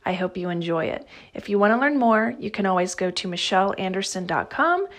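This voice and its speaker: A woman's voice: medium pitch, lively intonation, brisk. A woman hosting a podcast, opening the show and introducing a guest in an upbeat, friendly way. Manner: upbeat and friendly, speaking straight to listeners. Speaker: podcast host.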